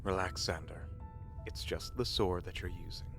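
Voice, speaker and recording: A man's voice: low-pitched, moderate pace, close to an everyday voice. A man playing a boastful, theatrical adventurer in a fantasy audio drama, with a pompous, dramatic delivery. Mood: reassuring. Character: boastful, theatrical male adventurer telling his own heroic tales. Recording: echoey hall reverb under game music.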